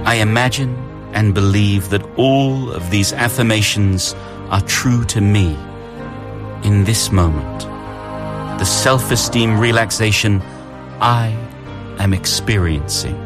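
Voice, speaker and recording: A man hosting a morning radio show, bantering playfully and reading affirmations slowly and soothingly. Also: on the low side, over music.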